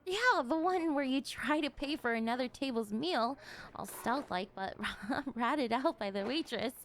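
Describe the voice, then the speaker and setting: A woman playing a slangy, affectionate, apologetic young skater in an ASMR roleplay, talking haltingly and emotively to an old friend. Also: sits high, animated, slight background noise. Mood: warm, nostalgic. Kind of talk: ASMR roleplay acting, casual one-sided dialogue.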